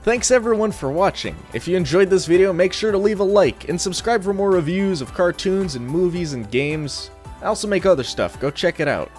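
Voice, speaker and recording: A man's voice: high-pitched, lively intonation, fairly quick, a little mellow, faint music behind. A young adult man reading a scripted review in a casual, opinionated, sardonic way. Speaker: video reviewer.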